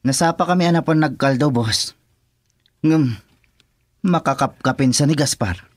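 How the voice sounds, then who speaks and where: A man's voice: a little high, a little slow. A man with an Ilocano accent playing a sullen, irritable henchman in a radio drama. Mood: irritated. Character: sullen, grudging male henchman, irritable with his rival.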